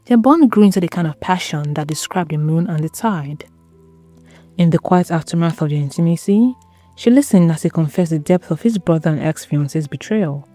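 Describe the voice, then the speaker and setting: A woman narrating a scripted dramatic story in a measured, solemn, literary manner. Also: sits low, lively intonation, dark tone, soft background music.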